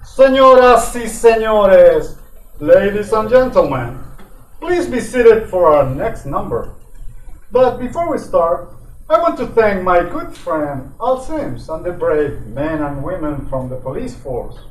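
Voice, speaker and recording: A man's voice: high voice, lively intonation, takes it slowly. A man playing a showy, gracious Latin bandleader making a ceremonious stage announcement in an old-time radio drama. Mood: grand, celebratory. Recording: echoey room, distant, faint noise.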